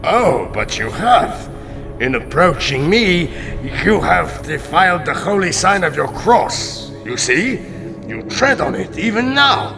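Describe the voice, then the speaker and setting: A man acting in a radio drama as a proud, amused Sultan, speaking in a grand, deliberate manner. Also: high-pitched, very animated, vocal fry, over music, exaggerated voice. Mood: stern, superior.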